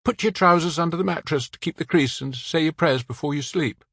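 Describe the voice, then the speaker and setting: A man reading the part of a weary, apologetic man in his forties who speaks quietly and plainly. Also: a little high, fairly quick, no echo, clean studio sound, pitched above the performer's own voice. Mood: resigned.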